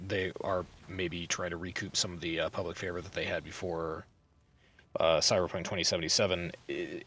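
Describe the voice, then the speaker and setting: A man hosting a podcast, casually discussing news in a halting, hesitant way. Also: low voice, fairly bright tone, slight background noise. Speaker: podcast host.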